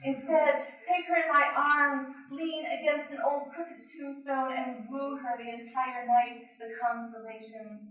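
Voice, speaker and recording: A woman giving a live, theatrical reading of poetry in an animated way. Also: high voice, unhurried, hardly any pauses. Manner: animated, theatrical, often breaking into laughter. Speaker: poet reading at an art show opening. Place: indoor cafe at a gallery opening. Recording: echoey room, distant microphone.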